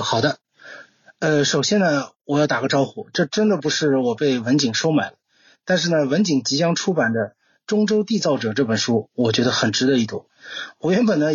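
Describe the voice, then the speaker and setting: A man's voice: mid-range voice, breathy edge, lo-fi sound. A man speaking as a podcast guest, giving prepared book recommendations. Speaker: guest translator recommending books.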